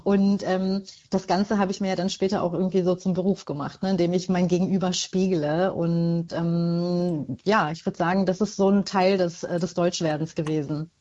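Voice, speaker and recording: A woman's voice: fairly low pitch, little pitch movement, moderate pace, few pauses, dark tone, highs cut off. A middle-aged woman from Germany answering as an author in an interview, reflective and hesitant, repeating words for emphasis.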